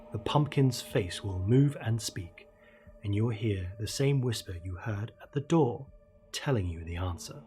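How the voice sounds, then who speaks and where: Male horror storyteller, 30s, ominous and theatrical, very varied intonation, faint dark ambience behind. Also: lowish voice, a little hiss or hum. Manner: theatrical, ominous, deliberate emphasis, dropping to hushed tones. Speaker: horror storyteller, show host. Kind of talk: dramatic creepypasta narration. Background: dark ambient music.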